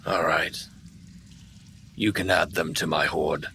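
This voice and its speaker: An enormous old dragon speaks in an extremely low, gravelly voice with a forceful edge. He is proud, grumpy and weary, dismissing intruders with menace in a fantasy game, over a noisy recording. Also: little pitch movement, slow pace, heavily acted. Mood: grudging.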